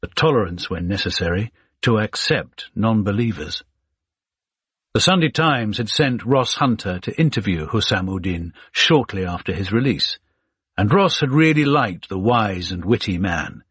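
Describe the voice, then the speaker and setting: A male audiobook narrator reading a thriller in a measured, even storytelling manner. His voice is low and husky. The sound is dry and close. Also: no echo.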